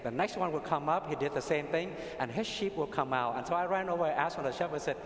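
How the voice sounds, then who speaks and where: Middle-aged Vietnamese-accented priest preaching, unhurried storyteller; roomy cathedral with long reverb. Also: male voice, on the high side. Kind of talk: sermon. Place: large cathedral.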